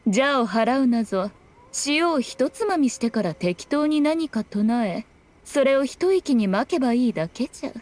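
A woman's voice: high-pitched, expressive, darkish tone. A woman voicing a sly, haughty fox shrine priestess in a game, speaking in an archaic, lofty manner. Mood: sly, teasing. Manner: archaic, lofty, unhurried speech. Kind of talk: voiced game dialogue. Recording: game music behind the voice.

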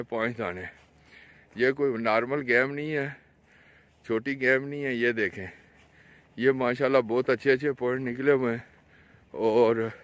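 A man's voice: a bit bright, no room sound, muffled recording. A man with a Pakistani accent gives casual, repetitive vlog commentary as a fishing vlogger, pointing things out to viewers into a handheld phone outdoors. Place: outdoors on an open road along a dam. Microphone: handheld phone camera, speaker behind it. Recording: noisy outdoor phone video, ambient rumble.

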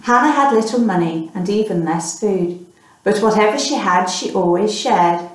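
A woman's voice: mid-range voice, expressive, moderate pace. Female storyteller, thirties, reading to a small child, very echoey room, distant mic. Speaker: storytelling teacher and mother. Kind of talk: reading a picture book aloud to a child. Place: small plain room indoors.

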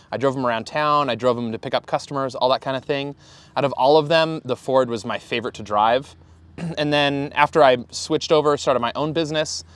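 A man's voice: medium pitch, fairly bright tone. A man in his thirties, a van company founder, talking casually to camera in an interview with easy fillers. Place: inside a camper van and outdoors by the van.

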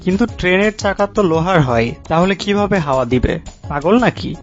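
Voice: mid-range voice, animated, fast, shouting, natural voice. A performer voicing a skeptical, teasing cartoon listener who questions and interrupts with sarcasm. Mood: skeptical, mocking. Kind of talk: comic cartoon dialogue. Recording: dull lo-fi audio, clear music behind.

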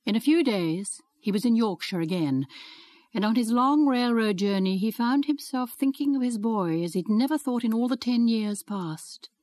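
A woman's voice: on the high side, moderate pace, darkish tone, no room sound. A woman reading classic fiction aloud as scripted narration for a radio programme. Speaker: reader of a novel excerpt.

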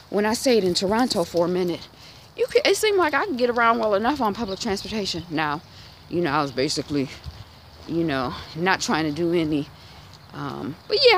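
A woman in her thirties talks casually into a phone held at arm's length while walking down a residential street, musing aloud with many fillers and restarts. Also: on the low side. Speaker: vlogger. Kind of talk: casual walk-and-talk monologue. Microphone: phone camera at arm's length. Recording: outdoor street noise behind.

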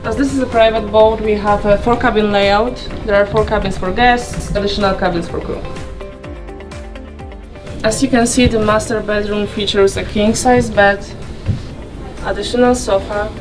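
A young woman presenting a walkthrough tour, listing features item by item in a measured way. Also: mid-range voice, fairly even intonation. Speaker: yacht company representative giving a tour. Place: inside a catamaran's saloon and cabins at a boat show. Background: music.

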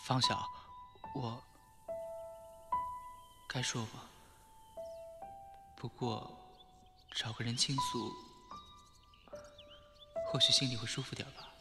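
A man playing a weary, evasive, wounded former surgeon in his thirties in an audio drama, soft-spoken and guarded. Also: mid-range voice, level tone, slow pace, long pauses, over loud music. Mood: hesitant, confiding. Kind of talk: audio drama acting in Mandarin.

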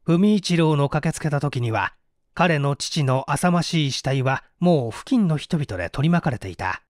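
A man's voice: on the high side, animated, normal speed, dry recording, studio quality. A man reading scripted literary narration as an audiobook narrator. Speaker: audiobook narrator, stage actor.

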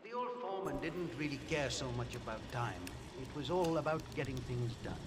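A man's voice: mid-range voice, expressive, brisk, noisy background, natural voice. An actor playing a plain-spoken, slightly anxious male laundry employee in a video game. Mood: anxious, explaining. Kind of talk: acted game background dialogue.

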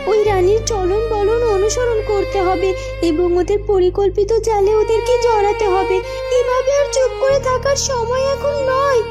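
Woman doing a determined girl heroine, fairy-tale cartoon, very high voice, commanding, earnest. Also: fairly even intonation, moderate pace, over music. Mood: reassuring, resolute. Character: brave young heroine, a determined daughter with magic.

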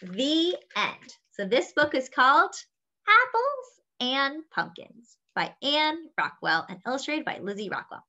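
A young woman reading a picture book aloud to children with animated, expressive delivery. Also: high voice, a little slow. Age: young adult. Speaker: children's story-time reader. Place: small room indoors at home. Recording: slightly dull webcam audio.

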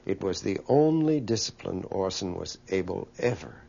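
A man reminiscing in an interview, deliberate and emphatic. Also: sits low, animated, gravelly, mellow, breathy edge. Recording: dull, muffled audio.

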